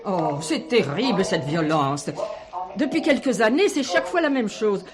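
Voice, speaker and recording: A woman's voice: medium pitch, animated, moderate pace, lightly acted. An actress playing a chatty, gracious godmother and hostess in a French television drama, exclaiming and easily amazed. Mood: effusive. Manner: exclamatory, effusive.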